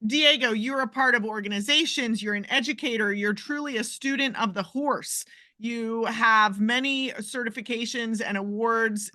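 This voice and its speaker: A woman's voice: a little high, bright, forceful tone, no echo. A woman hosting a podcast, upbeat and enthusiastic as she welcomes and introduces her guest. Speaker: podcast host.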